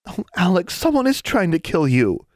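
A man's voice: medium pitch, animated, normal speed, darkish tone, dry recording, studio quality, pitched above the performer's own voice. A man voicing an anxious, kind-hearted schoolgirl in a storybook reading. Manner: worried, earnest.

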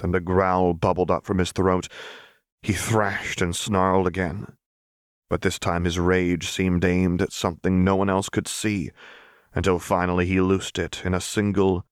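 Low-voiced male audiobook narrator. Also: dry recording, clean studio sound. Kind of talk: scripted dramatic fiction narration.

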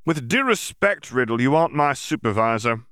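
A man's voice: medium pitch, lively intonation, normal speed, bright, forceful tone, smooth, no room sound, studio quality, pitched above the performer's own voice. A man voicing a smug, brusque middle-aged Auror chief who speaks with sneering authority.

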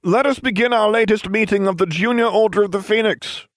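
A man's voice: high-pitched, fairly quick, no echo, studio quality, voice pushed up, lightly acted. A man voicing a theatrical young male wizard who speaks in a pompous, self-important way.

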